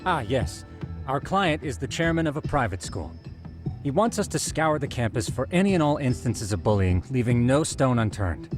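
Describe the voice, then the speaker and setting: Man acting a formal, animated bookish investigator. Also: medium pitch, moderate pace, over music, lightly acted. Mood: precise, businesslike. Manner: formal, precise, wordy. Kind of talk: English video game dub acting.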